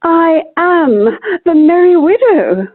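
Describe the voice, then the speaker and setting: Woman playing self-important drama society diva, high-pitched, theatrical, gushing, radio comedy, tinny call audio. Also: big pitch swings, exaggerated voice. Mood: boastful delight. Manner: theatrical, grandly drawn-out delivery. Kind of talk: comic radio play acting. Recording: tinny narrow-band video call audio.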